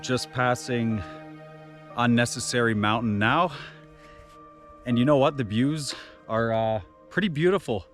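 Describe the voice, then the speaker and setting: A man with a naturally loud voice giving casual commentary outdoors on a mountain trail, relaxed, with many filler uhs. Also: mid-range voice, unhurried, pauses often. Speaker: trail running vlogger. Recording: music playing behind the voice.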